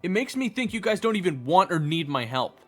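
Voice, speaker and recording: Man acting as earnest young adventurer, husky voice, expressive intonation, audio roleplay, faint music. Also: sits high, fairly quick. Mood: uneasy. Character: earnest, flustered young man, fantasy adventure hero and narrator. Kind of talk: audio roleplay acting with first-person narration.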